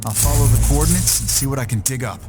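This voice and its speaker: A man's voice: medium pitch, fast, over loud music, natural voice. An actor playing a gritty, irreverent male ranger in a video game, talking in a brash, casual, sardonic way. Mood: determined.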